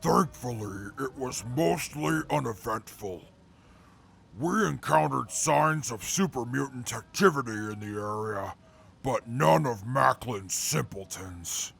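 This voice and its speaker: Very gravelly, pompous soldier. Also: male voice, medium pitch, expressive, takes it slowly, fairly bright tone, full character voice. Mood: stern. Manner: pompous, grandiose, condescending. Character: pompous, gruff, haughty male wasteland soldier. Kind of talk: post-apocalyptic radio drama acting.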